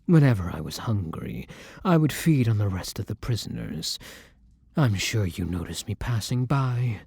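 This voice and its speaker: A man playing a gentle, tormented vampire in an intimate ASMR roleplay, speaking in a breathy hush with halting, dramatic pauses. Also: fairly low pitch, very animated, dry recording, clean studio sound, full character voice. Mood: sorrowful confession. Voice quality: breathy, hushed, dropping into whispers. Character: tormented, gentle male vampire torn between hunger and compassion.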